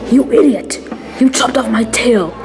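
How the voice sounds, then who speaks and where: A woman's voice: a little high, unhurried, music in the background, voice pushed up. Woman voicing an indignant wounded male dragon. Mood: furious. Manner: indignant, sarcastic. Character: wounded male dragon, furious, bitter and whimpering.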